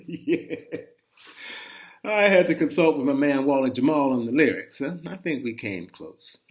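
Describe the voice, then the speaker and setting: Man telling a folksy, animated personal story. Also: mid-range voice, measured pace. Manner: animated, folksy, with asides to the listener. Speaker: reader of a personal chronicle. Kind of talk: conversational first-person storytelling.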